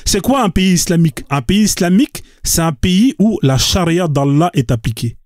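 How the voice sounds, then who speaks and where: A man's voice: high voice, expressive, moderate pace, a little mellow. A man hosting a live debate, pressing insistently, interrupting and repeating his questions.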